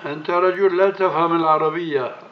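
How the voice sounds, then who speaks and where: Older male scholar, high-pitched, bluntly admonishing in religious Q&A, on a muffled, noisy cassette recording. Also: steady tone, brisk. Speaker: Islamic scholar answering questions. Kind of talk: spoken religious question-and-answer.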